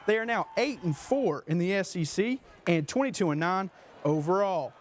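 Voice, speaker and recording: A man's voice: sits high, animated, moderate pace, slight background noise. A young man reading a scripted sports newscast as a TV anchor. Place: small TV news studio. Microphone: lapel mic.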